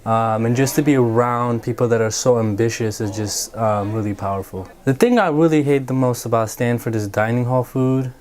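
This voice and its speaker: A man's voice: mid-range voice, normal speed, hardly any pauses, darkish tone. A young man, a university student, giving a casual, conversational answer in an interview. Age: young adult.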